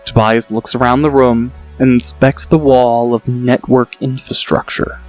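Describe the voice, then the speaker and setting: Male audiobook narrator, mid pitch, steady and descriptive. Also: measured pace, soft background music.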